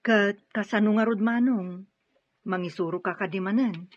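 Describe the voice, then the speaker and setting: A woman's voice: medium pitch, moderate pace, muffled recording, natural voice. A woman acting a caring, reassuring younger sister in Ilocano radio drama, with an Ilocano accent. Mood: friendly.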